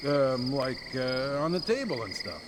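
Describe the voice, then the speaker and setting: A man playing a slow-witted, hesitant and sheepish henchman in a video game. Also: medium pitch, very animated, very noisy.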